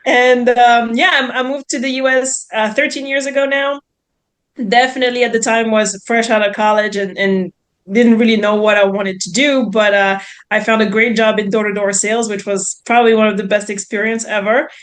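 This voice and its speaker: A woman in her thirties from France with a French accent, telling her story in a chatty, expressive way as an interview guest. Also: fairly high pitch, moderate pace. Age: 30s. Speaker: podcast guest, real estate investor.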